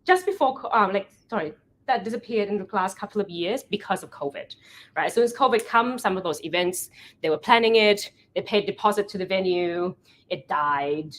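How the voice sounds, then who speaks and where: A young woman from Thailand, giving a lively storytelling talk at a product meetup and often correcting herself mid-sentence. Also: medium pitch, moderate pace, slight background noise. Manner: animated storytelling, acting out quoted conversations. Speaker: event founder giving a talk. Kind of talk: meetup presentation.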